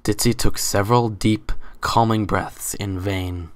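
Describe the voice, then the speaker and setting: A man's voice: lowish voice, steady tone, unhurried, dry recording. A man narrating a scripted story as a dramatic reading.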